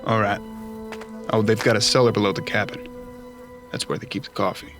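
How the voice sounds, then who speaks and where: An actor playing a young, earnest apprentice bounty hunter in a western audio drama, plain-spoken and questioning. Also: male voice, fairly low pitch, fairly even intonation, brisk, over music, close to an everyday voice. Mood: uneasy.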